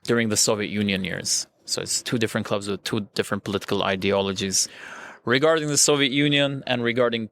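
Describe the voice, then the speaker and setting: A man's voice: lowish voice, fast talker, vocal fry, a bit bright. A man from Jerusalem, a native Armenian speaker, chatting animatedly as a podcast guest and joking and laughing often. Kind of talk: casual interview conversation.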